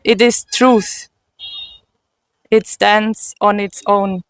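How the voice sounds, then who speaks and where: A woman with a German accent speaks slowly and earnestly, often pausing to find her words. Her voice is smooth and clear, recorded dry and close with no room sound. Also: medium pitch, fairly even intonation, dry recording. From Switzerland. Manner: slow and earnest, with frequent pauses and restarts. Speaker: interviewee. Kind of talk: personal testimony.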